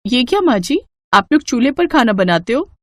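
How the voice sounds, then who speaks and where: A woman voicing a haughty, scornful young rich daughter-in-law in an animated storybook tale, scolding and condescending. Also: a little high, moderate pace, dry recording.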